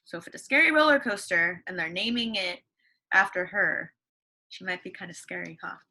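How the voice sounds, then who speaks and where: Bright-voiced woman teacher reading aloud. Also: fairly low pitch, lively intonation, normal speed. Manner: chatty, lots of fillers and questions to children. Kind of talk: reading a story aloud to a class.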